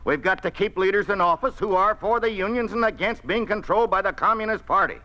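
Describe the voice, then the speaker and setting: An actor playing a defiant, earnest union leader in a 1950s radio drama, argumentative and easily flustered. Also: male voice, sits high, quick pace, bright, forceful tone, dull audio. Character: indignant, defiant male union leader, flustered when cornered.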